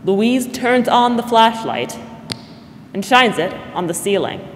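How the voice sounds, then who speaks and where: A woman's voice: fairly high pitch, big pitch swings, slow pace. A young woman narrating a scripted radio drama in 1940s style as announcer on a stage. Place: large theatre stage, indoors. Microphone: stand microphone. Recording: reverberant stage hall, faint noise.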